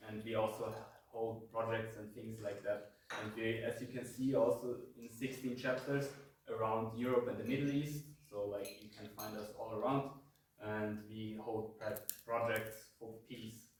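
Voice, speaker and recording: A young man with a low, flat voice gives a halting presentation, full of ums. He sounds distant in an echoey room, with faint noise behind. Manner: hesitant, many fillers. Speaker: youth peace group presenter. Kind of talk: informal presentation.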